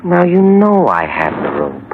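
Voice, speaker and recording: A man's voice: fairly low pitch, wildly swooping pitch. An actor playing a gleeful, fussy, sadistic henchman in an old radio crime drama, savoring his words. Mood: businesslike. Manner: fussy, savoring, drawn-out delivery. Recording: dull, noisy old radio recording.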